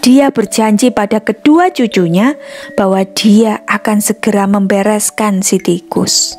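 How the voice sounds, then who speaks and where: A woman's voice: medium pitch, a little slow, darkish tone. A woman reading a scripted children's story as a storyteller. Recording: music behind the voice. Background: music.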